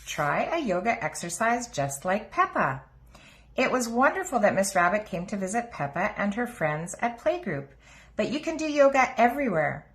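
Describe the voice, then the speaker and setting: A woman's voice: mid-range voice, sing-song, normal speed. A woman reading a picture book aloud to young children as a storybook narrator.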